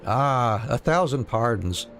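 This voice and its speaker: Man voicing flustered bookish rebel recruit, slightly creaky. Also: mid-range voice, lively intonation, slow pace, fairly bright tone, soft background music. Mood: apologetic. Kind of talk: reading fantasy game dialogue aloud.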